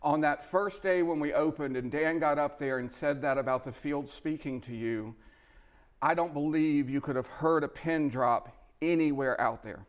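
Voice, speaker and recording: Guy emceeing a live charity event, voice a bit on the high side, really emphatic, speechy phrasing. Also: moderate pace. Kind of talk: live fundraiser hosting.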